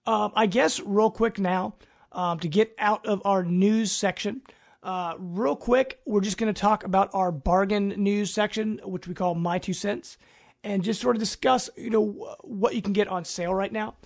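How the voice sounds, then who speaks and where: A male podcast host with a notably high voice and plenty of vocal fry. He presents gaming news casually and conversationally, as informal talk between co-hosts. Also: steady tone, normal speed.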